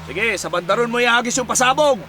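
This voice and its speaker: A man's voice: very high-pitched, fast talker, music in the background. A man playing a sly, gleeful Filipino dynamite fisherman in a radio drama, colloquial and smug. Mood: smug, scheming. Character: sly, gleeful male dynamite fisherman, bossing his cousin.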